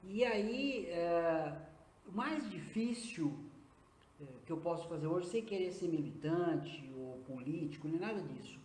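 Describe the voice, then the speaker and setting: A man in his fifties with a Brazilian accent, a nurse and acupuncturist answering interview questions earnestly, often pausing on hesitant fillers. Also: fairly high pitch, animated, darkish tone, a little hiss or hum. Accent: Brazilian Portuguese. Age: 50s. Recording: roomy echo.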